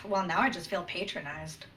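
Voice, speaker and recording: A woman's voice: fairly low pitch, normal speed, sharp tone, low-quality recording. A woman playing an indignant, pouty, brainy ship science officer in a comedic sci-fi play, prim and huffy in manner.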